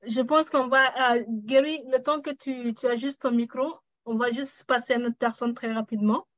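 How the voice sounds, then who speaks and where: This is a woman hosting a workshop on a video call. Her voice sits a touch high and she moves the group along briskly, with frequent laughter. The audio is dry and somewhat muffled. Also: fairly even intonation, no room sound. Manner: brisk, welcoming, laughs easily. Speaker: workshop host.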